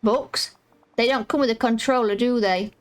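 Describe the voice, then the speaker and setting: A woman's voice: a little high, steady tone, normal speed, close to an everyday voice. A woman reading as a brash, cheeky kid. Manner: blunt, slangy. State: croaky voice. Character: brash, cheeky young girl who loves racing games.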